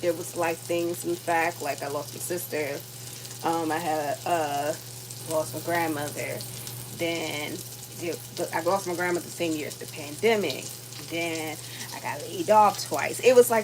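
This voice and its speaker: A woman in her thirties chatting casually to her viewers while she cooks at home, rambling with lots of fillers and sounding worn out. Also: on the low side. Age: 30s. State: tired. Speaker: vlogger. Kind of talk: casual chat while cooking. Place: home kitchen. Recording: sizzling cooking noise behind. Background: food sizzling in a pan.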